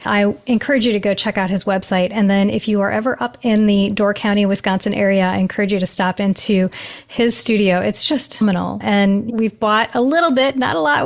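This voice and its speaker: A woman hosting a podcast, reading a guest's introduction and then interviewing him with effusive praise. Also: medium pitch. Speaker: podcast host.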